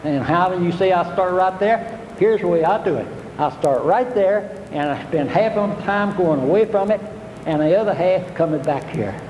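Older man, fairly high voice, folksy seminar speaker, recorded in a reverberant hall with faint hiss. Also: normal speed, highs cut off. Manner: homespun, repetitive, plainspoken. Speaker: fishing instructor.

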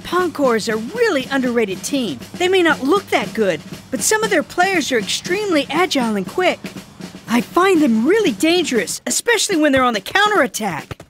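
A woman's voice: high-pitched, very animated, moderate pace, fairly bright tone. A woman voicing an earnest boy footballer in a cartoon, explaining things seriously to his teammates. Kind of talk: animated cartoon dialogue. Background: background music.

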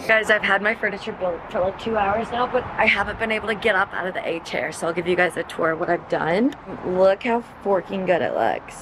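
A woman's voice: mid-range voice, fairly quick, hardly any pauses, reverberant, music in the background, rough recording. A young woman, chatting casually to the camera in a vlog, upbeat and full of "like" and "y'all". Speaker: vlogger. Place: home kitchen and apartment balcony.

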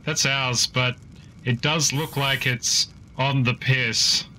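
Clear ambient noise sits behind a dry cartoon robot dog, acted by a man. The voice is mid-pitched and deadpan, with a sardonic edge to every remark. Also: takes it slowly, rough recording. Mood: matter-of-fact.

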